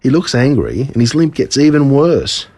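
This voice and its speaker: A man with an Australian accent reading a scripted storybook in an expressive storytelling manner. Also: mid-range voice, normal speed, dry recording, studio quality. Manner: expressive, storytelling in a child narrator's words. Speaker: author reading his own story.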